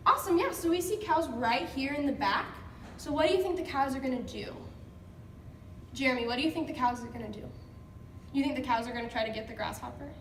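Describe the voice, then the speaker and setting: A woman teacher leading an interactive picture-book read-aloud for children, encouraging and prompting with questions. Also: sits high. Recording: echoey room, distant mic, background noise.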